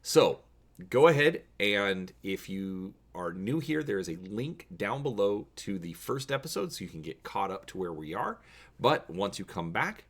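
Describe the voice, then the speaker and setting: Low-voiced man with very lively intonation. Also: unhurried. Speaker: host reading a choose-your-own-adventure story. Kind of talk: casual presenting and dramatic reading of a story.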